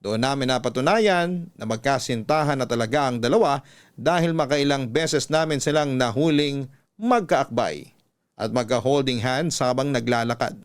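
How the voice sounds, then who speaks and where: A man with a Filipino accent reading a listener's letter as a scripted, measured and earnest radio story. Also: mid-range voice, clear, resonant tone.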